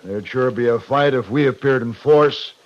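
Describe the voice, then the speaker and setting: An actor playing a stern, shrewd cavalry captain in a 1950s radio western, with a clipped, authoritative manner. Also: male voice, medium pitch, normal speed, natural voice. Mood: firm, calculating. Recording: dull, noisy 1950s radio recording.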